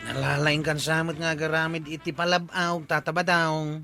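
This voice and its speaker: A man's voice: a little high, flat delivery, moderate pace, gravelly, loud music behind the voice. A man playing an eager, scheming village man with an Ilocano accent in a radio comedy drama.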